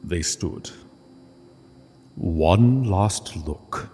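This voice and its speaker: A man narrating a scripted literary story in a solemn, measured way with dramatic pauses. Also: low-pitched, animated, warm tone, big-room sound.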